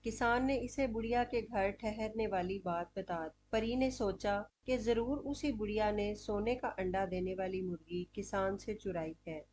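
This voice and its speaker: A woman's voice: mid-range voice, measured pace, few pauses, darkish tone, a little hiss or hum. A woman telling a scripted moral story as narrator of a cartoon.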